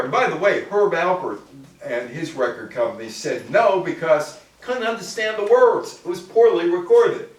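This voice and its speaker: An older man with an American accent giving a conversational public talk, reading from notes and quizzing his listeners with asides. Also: high voice. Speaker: lecturer. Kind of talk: public talk to a live audience, part read from notes, with questions to listeners. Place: indoor room with live audience. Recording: very echoey room, distant microphone.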